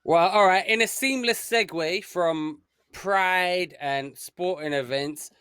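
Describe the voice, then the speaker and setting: Male podcast co-host, smooth resonant voice, curious, leads segments. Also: high voice, takes it slowly, sharp tone, no echo, clean studio sound. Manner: quizzing, eager questions. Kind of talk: casual chat.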